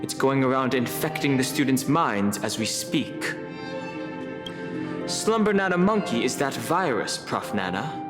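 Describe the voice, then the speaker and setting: A serious, composed young man in a game. He explains things matter-of-factly in a medium-pitched voice, with music clearly behind him. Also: very animated, unhurried. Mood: explaining, accusing. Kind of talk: video game voice acting.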